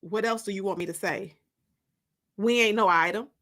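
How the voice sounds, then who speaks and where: A woman's voice: mid-range voice, normal speed, fairly bright tone, natural voice. A woman voicing a tall, dismissive young man who talks in a curt, taunting, colloquial way in a dramatic audiobook. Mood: defiant.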